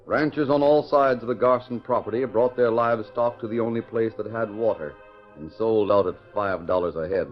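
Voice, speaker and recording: A man's voice: lowish voice, a little fast, soft background music. A man narrating a scripted western serial in 1940s radio announcer style. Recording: muffled 1940s radio recording.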